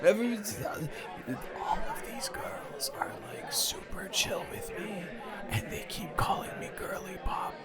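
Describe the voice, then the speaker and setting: A man's voice: high voice, takes it slowly, over loud music, a loud crowd. A man playing a tipsy, stubborn male roommate at a party, rambling and repeating himself in an improvised audio roleplay. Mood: hushed, pleased. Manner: rambling, tipsy, dragged-out and repetitive. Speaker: audio roleplay performer, channel creator.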